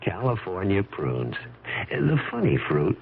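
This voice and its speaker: A man's voice: low-pitched, wildly swooping pitch, a little slow, creaky. A man announcing a scripted radio commercial. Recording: tinny, faintly noisy radio ad.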